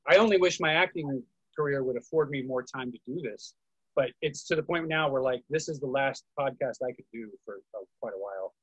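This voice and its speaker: A man's voice: mid-range voice, fairly quick, breathy edge, dry recording, lo-fi sound. A man moderating a casual group discussion over a video call, speaking as the host. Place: indoors, online video call. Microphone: video call microphone.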